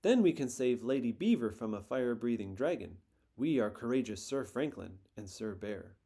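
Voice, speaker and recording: A man's voice: medium pitch, lively intonation, moderate pace, warm tone. A man voicing an eager young boy turtle in a storybook read-aloud, raising his voice to sound boyish. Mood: excited. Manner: raised, boyish voice.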